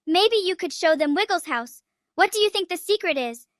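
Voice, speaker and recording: A woman's voice: extremely high pitch, animated, normal speed, fairly bright tone, no echo, studio quality. A woman voicing a curious, shy and admiring small child in an audio drama, asking things in a hesitant, childlike way. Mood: shyly excited. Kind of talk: audio drama character acting.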